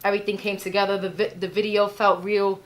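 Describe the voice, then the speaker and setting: A woman's voice: mid-range voice, level tone, fast talker, rough recording. A woman, chatting casually as a podcast guest, full of you-knows and likes. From New York, Spanish Harlem. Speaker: guest singer.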